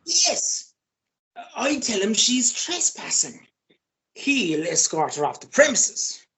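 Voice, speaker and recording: Woman playing a high-voiced, flustered philanderer. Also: very animated, slow, a bit bright, heavily acted. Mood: coaxing, placating. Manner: pleading, protesting. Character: hapless philandering man, flustered and placating. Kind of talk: radio play acting.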